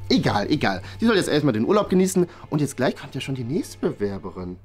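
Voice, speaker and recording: A young man acts out an eager, easily flustered father running a small café in a family comedy sketch. His pitch jumps around in an animated way as he hesitates and stumbles over words. Music plays clearly behind him. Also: fast. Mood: pleased, musing. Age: young adult. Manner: hesitant, stammering, excitable. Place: small indoor office room.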